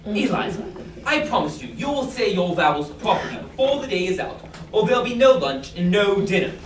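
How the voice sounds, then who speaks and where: A woman's voice: mid-range voice, very animated, fairly quick, natural voice. A woman playing a bossy, superior male professor in a stage musical, drilling commands in a clipped manner. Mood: impatient. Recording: echoey stage, mic far off, noise in the background.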